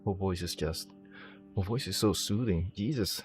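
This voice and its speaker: A man's voice: low-pitched, normal speed. A man giving casual gameplay reactions, joking and self-deprecating, often stammering and exclaiming as he goes. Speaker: video game streamer. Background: game music and dialogue.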